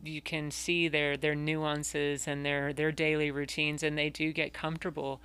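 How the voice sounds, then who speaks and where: A man's voice: on the high side, level tone, unhurried, pushed and bright, clear, resonant tone. A man hosting an interview podcast, affirming his guest and laughing along. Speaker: podcast host. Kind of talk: casual interview talk.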